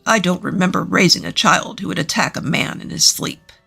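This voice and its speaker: A woman's voice: fairly low pitch, fairly quick, vocal fry, sharp tone, slightly rough, faint music behind, voice pushed down, exaggerated voice. A woman voicing a grave, formal older father in a narrated family story. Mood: stern, disapproving.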